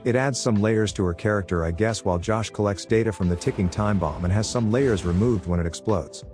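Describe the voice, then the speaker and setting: Low-voiced sardonic man narrating over music. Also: a little fast, a little mellow. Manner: sardonic, flippant asides. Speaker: movie recap narrator. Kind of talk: scripted narration of a film plot.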